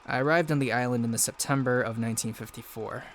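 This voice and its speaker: A man playing a haunted 1950s war correspondent, narrating an audio log in a measured, literary, solemn way over background noise. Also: medium pitch, natural voice. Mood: haunted, ominous.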